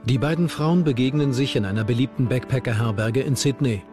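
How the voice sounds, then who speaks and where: A man's voice: medium pitch, fairly quick. A man reading scripted narration for a true-crime documentary. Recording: narration over background music.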